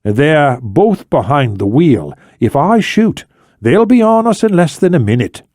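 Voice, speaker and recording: A man's voice: on the high side, very animated, measured pace, darkish tone, dry recording, clean studio sound, voice pushed up. A man voicing a determined female operative who holds firm under pressure in a thriller audiobook. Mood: firm, threatening.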